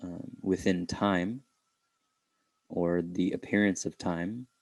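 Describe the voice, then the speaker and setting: A man leading an unscripted guided meditation, speaking reflectively in halting phrases with pauses. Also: sits low, fairly even intonation, no room sound.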